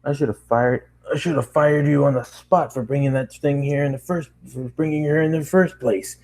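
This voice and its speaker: An angry middle-aged store boss, played by a man, blustering and barking his threats. His voice is pushed up and loud, a bullying character from a read-aloud story. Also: a little high, steady tone, normal speed, voice pushed up, lightly acted. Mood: threatening.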